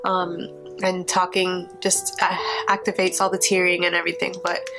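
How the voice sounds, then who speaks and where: A woman's voice: on the low side, completely flat delivery, moderate pace. Congested woman vlogging over guitar music. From Florida. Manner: hesitant, many ums and restarts, earnest. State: congested with a cold. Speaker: YouTuber, mother of two. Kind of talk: casual vlog talk to camera. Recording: music playing behind the voice. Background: soft guitar music.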